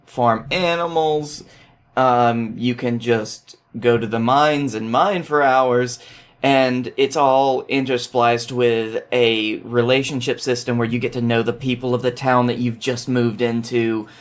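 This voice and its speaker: A male podcast guest with a medium-pitched voice. He explains things in a loose, conversational way, with wry asides and the odd swear word. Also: steady tone, a little slow. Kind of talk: casual explanatory chat.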